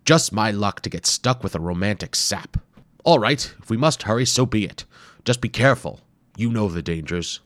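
A man's voice: lowish voice, animated, moderate pace, a bit bright, no echo, voice pushed up. A man voicing a fussy, heavyset bearded male archaeologist who complains and makes dry, sarcastic remarks. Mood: resigned.

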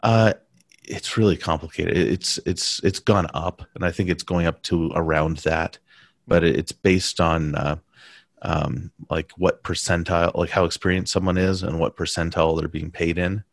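A man talking casually in a conversation, pausing and restarting his sentences often. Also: sits low, steady tone, creaky, no echo, studio quality. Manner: halting, many restarts and fillers.

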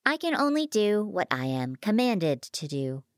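Here is a sly, courteous machine from a science fiction story, acted out by a woman. It speaks formally and with measured care, teasing as it goes. The pitch moves a great deal and the sound is dry. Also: very animated, no echo.

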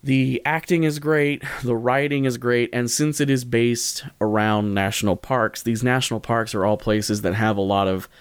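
A man's voice: mid-range voice, fairly even intonation, moderate pace, few pauses, smooth, dry recording. A man talking casually as a podcast host, rambling and correcting himself in an informal, jokey way. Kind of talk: casual solo podcast talk, recommending.

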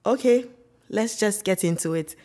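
A woman co-hosting a podcast, opening the show and leading the questions in a measured way. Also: medium pitch, dark tone. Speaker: podcast co-host.

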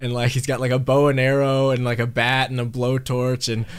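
A congested man chatting casually as a podcast co-host, telling stories with animated energy. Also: mid-range voice, fairly quick, clear, resonant tone. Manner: chatty, animated storytelling with laughs. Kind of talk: casual chat over a call. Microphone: remote call mic.

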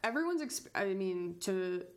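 A relaxed podcast co-host with an ordinary mid-range pitch, talking in a loose, chatty way. She laughs easily and asks open, informal questions. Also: measured pace. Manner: easygoing, chatty, laughs often. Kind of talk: casual conversation.

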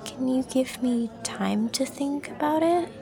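Guarded young female student, acted by a woman, soft and hesitant, slow delivery with vocal fry, audio drama, crowd murmur behind. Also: sits high. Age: young adult. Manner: hesitant, soft-spoken, often trailing into breathiness.

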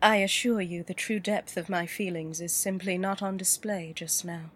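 An actress playing a wealthy businesswoman client in an audio drama, cool and measured. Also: lowish voice, steady tone, breathy edge. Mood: composed. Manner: cool, measured, dryly composed.

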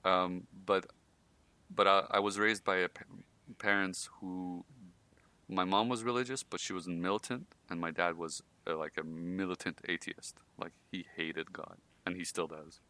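A man's voice: low-pitched, steady tone, normal speed, bright, forceful tone, dry recording. A man from Iran hosting a podcast, telling his own life stories in a casual, laughing way with many fillers. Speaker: podcast host.

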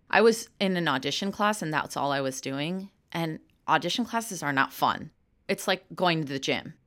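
A woman's voice: sits low, a bit bright. A woman in her thirties, an actor and comedian, answering interview questions frankly and laughing easily. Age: 30s.

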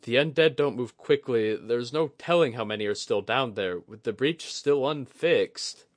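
A man's voice: mid-range voice, moderate pace, no echo, pitched above the performer's own voice, lightly acted. A man voicing an alert, anxious soldier in a fantasy audiobook, speaking earnestly and urgently. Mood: pleading. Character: alert, anxious, quick-thinking male soldier on watch.

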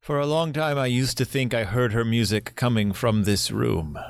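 An actor in an audio drama playing a courteous, lonely grandfather in his seventies, speaking gently and reflectively. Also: medium pitch, normal speed, gravelly, clear, resonant tone, no room sound, studio quality, natural voice. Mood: gentle, courteous.

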